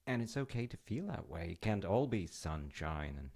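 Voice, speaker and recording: A man playing a gentle, reassuring, slightly goofy dad in an intimate roleplay, talking in a soothing, hesitant, conversational way. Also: deep voice, very animated, moderate pace, creaky, exaggerated voice. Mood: gentle concern. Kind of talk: intimate roleplay monologue with storytelling.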